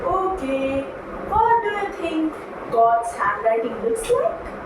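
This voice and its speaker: A woman acting as interviewer in a scripted puppet show, with a deliberate, recited delivery. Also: high-pitched, very animated. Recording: very echoey room, distant mic, noisy.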